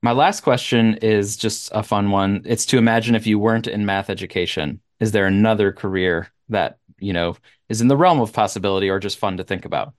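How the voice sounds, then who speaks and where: Low-voiced male podcast host. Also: brisk. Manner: friendly, relaxed, chuckles often. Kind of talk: interview conversation.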